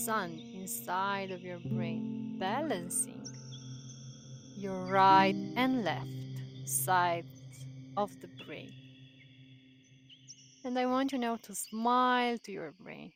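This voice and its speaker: Slow-speaking woman guiding a meditation over music. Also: mid-range voice. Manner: soothing, gentle, drawn-out phrases with long pauses. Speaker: meditation guide. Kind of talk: guided meditation. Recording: voice over prominent background music.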